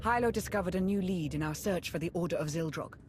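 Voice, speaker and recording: An actress playing a cautious, determined military adviser in a video game, giving serious, measured briefings. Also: female voice, on the low side, darkish tone, slight background noise, lightly acted. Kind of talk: dramatic video game cutscene acting.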